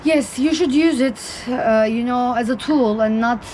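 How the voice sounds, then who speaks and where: A woman's voice: fairly high pitch, normal speed, a little mellow, slight background noise. A young adult woman interviewing a friend in a chatty, teasing way. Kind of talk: casual interview chat.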